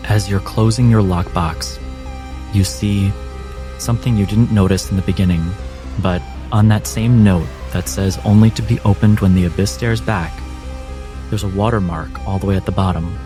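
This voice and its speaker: A man acting as game master, guiding a tabletop game in a measured, explanatory way. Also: sits low, fairly even intonation, over music.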